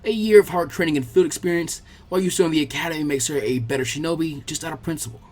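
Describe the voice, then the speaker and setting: A man voicing an aged village leader, kindly but gently stern, in measured grandfatherly tones for a fan fiction audiobook. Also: a little high, close to an everyday voice. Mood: patient, instructive.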